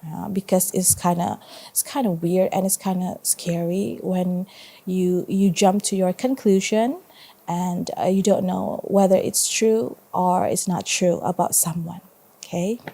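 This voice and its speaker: A woman with a soft, mellow voice reads aloud as a primary teacher would. Her delivery is expressive and informal, moving easily between storytelling and chatty explanation. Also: on the low side, a little slow, gravelly. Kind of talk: read-aloud storytelling with casual asides.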